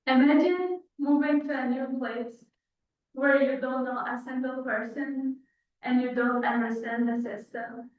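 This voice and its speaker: A woman's voice: high voice, steady tone, measured pace. Young Afghan-accented woman, thin echoey sound. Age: young adult. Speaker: guest speaker telling her story. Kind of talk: prepared personal speech. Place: large indoor church. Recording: thin phone-like sound, echoey hall, distant.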